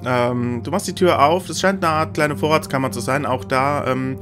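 A man narrating a tabletop game as game master, halting as he searches for words. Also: mid-range voice. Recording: music playing behind the voice.

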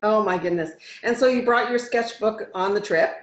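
A woman in her fifties hosting a casual interview over a video call, upbeat and quick to laugh. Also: mid-range voice. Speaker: host. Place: home room. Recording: roomy, dull video call audio.

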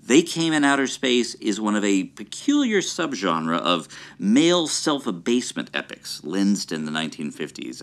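An enthusiastic film buff, holds forth in documentary-style commentary for an audio drama. His voice is on the low side and very lively, and he relishes grand, wordy phrasing. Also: exaggerated voice. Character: enthusiastic, verbose B-movie film buff commentator named Skylar.

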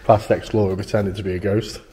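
A man's voice: fairly low pitch, warm tone. A man in his thirties walking through an abandoned school with a handheld camera, chatting casually to viewers and reacting with awe. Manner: casual, addresses viewers as guys, awed exclamations. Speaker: urban explorer vlogger. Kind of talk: handheld exploration commentary. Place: abandoned school corridors, indoors. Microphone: handheld camera mic. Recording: echoey empty rooms with faint hiss.

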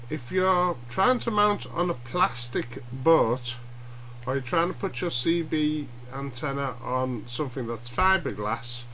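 A man's voice: mid-range voice, pushed and bright. Middle-aged man explaining, noisy humming recording. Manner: halting, tag questions like "yeah?" and "eh?". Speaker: hobbyist radio demonstrator. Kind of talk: informal explainer vlog. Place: small cluttered room at home, indoors. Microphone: webcam at arm's length. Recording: noisy webcam audio with hum.

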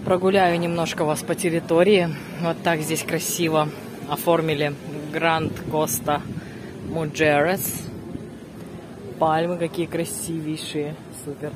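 A woman's voice: sits low, little pitch movement, a little slow. A middle-aged woman narrating a casual family travel vlog in an effusive, exclaiming way. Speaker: family vlogger filming and narrating. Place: resort grounds, corridors and poolside. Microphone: handheld phone camera. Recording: noisy handheld travel vlog recording.

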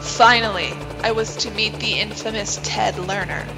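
A woman's voice: mid-range voice, moderate pace, music in the background, close to an everyday voice. A woman playing a brash, sardonic podcaster heroine in an audio drama, hard-boiled and bossy. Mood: jaded.

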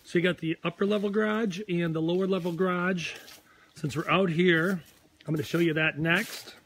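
A hoarse man in his forties with a Minnesotan accent talks into a phone he holds at arm's length, outdoors on a lakeside deck. His voice sits a little high for a man. He rambles earnestly, a real estate agent cheering his viewers on. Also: fairly even intonation, moderate pace, fairly bright tone. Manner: rambling, earnest pep talk. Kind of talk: live property tour vlog. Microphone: phone held at arm's length.

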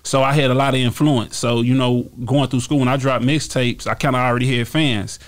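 A man in his thirties with a Texas accent, a guest rapper talking in a relaxed, rambling way with lots of "you feel me" in a small studio on a stand mic. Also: mid-range voice, brisk. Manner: relaxed, repeats phrases and fillers, gestures while talking. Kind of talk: casual interview storytelling. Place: small podcast studio indoors. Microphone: studio mic on stand with headphones.

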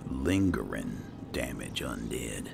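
Male gamer, slow and muttering, lively swings in pitch, hushed in-character play commentary on a noisy track. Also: vocal fry. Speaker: gamer playing a fantasy roleplay game. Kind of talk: low-key gameplay commentary in character.

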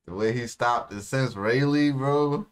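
A man's voice: medium pitch, measured pace, clear, resonant tone. A young American man giving casual, slangy reactions as an anime video host, recorded seated in a small room. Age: young adult. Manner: slangy, informal.

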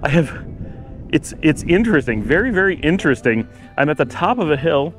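A man's voice: fairly high pitch, sing-song, fairly quick, faint music behind. A man narrating a casual walking-tour vlog outdoors, rambling and thinking aloud as he goes. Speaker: travel vlogger. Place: outdoors, walking on residential city streets.

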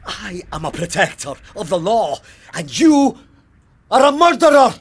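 A man's voice: high-pitched, very animated, measured pace, a little hiss or hum. A man playing an outraged, stubborn, pious police sergeant in an audio drama, speaking formally and emphatically. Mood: defiant. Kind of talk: full-cast audio drama acting.

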